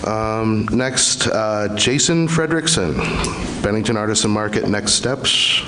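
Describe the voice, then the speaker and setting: A man's voice: lowish voice, takes it slowly. A man chairing a town board meeting who calls each speaker in a brief, procedural way. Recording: distant mic in a noisy meeting recording.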